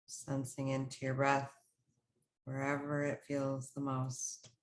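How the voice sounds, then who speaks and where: A woman with a low, level voice guides a meditation. She speaks in soothing, short phrases and leaves space between them. Her intonation stays very even throughout. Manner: slow, pausing, soothing instructional delivery in short phrases.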